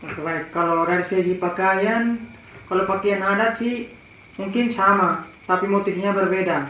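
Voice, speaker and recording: Male guest, high voice, eastern Indonesian accent, casual answers, dull recording with faint noise. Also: moderate pace, pushed and bright. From Malaka, East Nusa Tenggara, Indonesia. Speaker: interview guest. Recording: very echoey, muffled, faint noise.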